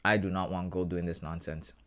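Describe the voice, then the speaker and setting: A man's voice: sits low, little pitch movement, fairly quick, a bit bright. A man in his thirties chatting casually with viewers on a live trading stream, slangy and laughing often. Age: 30s. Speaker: livestreaming forex trader.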